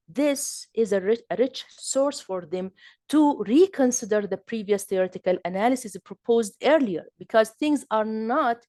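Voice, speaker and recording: A woman's voice: mid-range voice, a little mellow, dry recording, clean studio sound. A woman from southern Jordan with a Jordanian Arabic accent, giving an academic lecture in a hesitant way, with many fillers and restarts. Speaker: linguistics lecturer.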